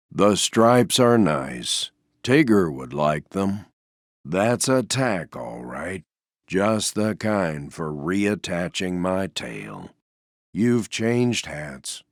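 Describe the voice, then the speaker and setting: A man voicing a gloomy, mild-mannered toy donkey for a video game, with a deep, gravelly voice and a glum, resigned, self-deprecating drawl. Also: dry recording, clean studio sound, heavily acted. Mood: glum, grateful. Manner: slow, glum, resigned drawl with downcast understatement. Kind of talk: video game character voice lines.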